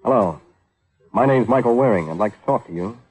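An actor playing a wry, hard-boiled private detective who asks dry, clipped questions in an old radio drama. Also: male voice, lowish voice, expressive, fairly quick, darkish tone, husky, rough recording. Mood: wry, cool. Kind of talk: 1950s radio detective acting.

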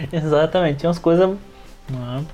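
A man's voice: a little high, moderate pace, mellow, soft background music. A man with a Brazilian accent in a casual podcast discussion, hesitating and repeating words as he explains. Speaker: podcast participant.

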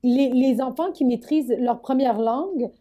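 A woman from Montreal, co-hosting a podcast, telling stories in an animated way and swearing casually. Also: high voice, moderate pace, dull audio. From Montreal, Quebec. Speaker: podcast co-host. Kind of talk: casual chat.

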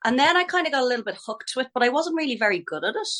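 A woman's voice: high voice, big pitch swings, rapid-fire, fairly bright tone. A middle-aged Irish woman, a ballet teacher, chatting in a podcast interview, talking freely, restarting sentences and laughing easily. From Ireland.

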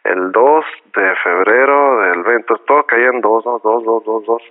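A man's voice: fairly low pitch, a little slow. A man from Costa Rica hosting a casual chat, full of slang and laughter, acting out the exchanges he retells. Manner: slangy, laughs often, acts out quoted exchanges. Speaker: podcast host. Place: Tijuana. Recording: phone-like narrow audio.

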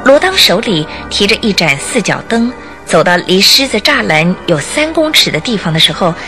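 A woman narrating a Chinese radio drama, telling the story in a measured way with theatrical emphasis. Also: mid-range voice, sing-song. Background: music.

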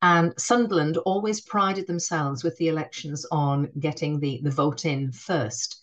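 A middle-aged woman with a Northeastern English accent and a somewhat low voice. She talks as a guest in a podcast interview, reflective and unhurried, often doubling back and restarting her sentences as she remembers. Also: fairly even intonation. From Northeast England. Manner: warm, reflective, frequent restarts. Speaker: head teacher, guest.

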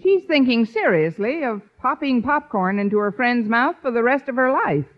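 An actress playing a sensible, wry schoolteacher with dry, measured comic timing in a radio comedy. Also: female voice, a little high, lively intonation, lightly acted. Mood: concerned. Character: wry, sensible woman high school teacher. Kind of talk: 1950s radio comedy acting. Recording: muffled, noisy old radio recording, loud studio audience.

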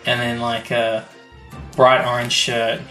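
A man's voice: medium pitch, completely flat delivery, slow, over music. A man co-hosting a podcast in casual chat, explaining things with a fan's enthusiasm. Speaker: podcast co-host. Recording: echoey room.

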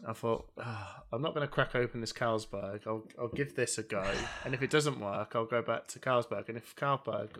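A man's voice: medium pitch, moderate pace, few pauses, fairly bright tone. A man co-hosting a casual chat, rambling in a self-mocking way. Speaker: co-host. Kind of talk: casual banter.

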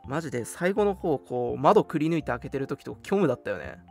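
A radio host chatting casually and telling stories in an animated, emphatic, colloquial way. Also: medium pitch, quick pace, vocal fry. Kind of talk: casual chat, storytelling. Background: background music.

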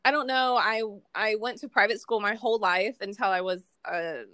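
A woman's voice: mid-range voice, moderate pace, pushed and bright, no echo. A young American woman chatting casually as a podcast host, laughing often and poking fun at herself. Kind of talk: casual chat.

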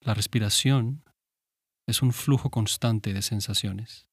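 A man guiding a meditation in a calm, gentle, unhurried manner with pauses. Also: on the low side, slightly rough, no room sound, studio quality. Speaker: meditation guide. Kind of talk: guided meditation.

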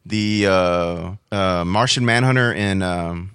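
A man's voice: sits low, slow pace, fairly bright tone, clean tone, no room sound, studio quality. A man chatting informally about comics as a podcast guest, in a rambling, enthusiastic fan's way. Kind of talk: casual chat about comics.

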